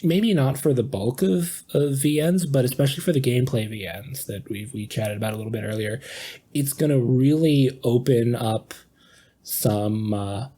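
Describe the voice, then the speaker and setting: A man's voice: medium pitch, moderate pace, warm tone, husky. A man in casual podcast conversation, hesitant and repeating his phrases as he thinks aloud. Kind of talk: casual chat.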